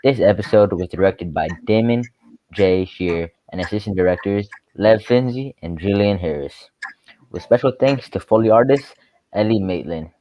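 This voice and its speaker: A man's voice: fairly low pitch, normal speed, highs cut off. A man reading scripted announcements as a radio show's announcer.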